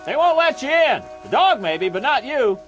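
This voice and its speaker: Wheedling heavenly gatekeeper, rural Southern drawl, high pitch, wildly swinging intonation, old TV drama. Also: male voice, sing-song, a bit bright, faint music behind, heavily acted. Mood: insistent. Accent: rural Southern American. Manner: folksy, coaxing, drawn-out phrasing. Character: folksy, wheedling male gatekeeper of a false heaven. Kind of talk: vintage television drama acting.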